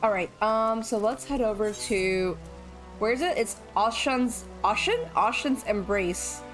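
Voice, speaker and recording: An excitable woman with a slightly nasal, fairly high voice reacts to a game as she streams it. She repeats her points and gets louder when something surprises her. Game music plays clearly behind her. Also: measured pace, rough recording. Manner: excitable, laughs and repeats herself. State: a bit nasal. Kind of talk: casual gameplay commentary.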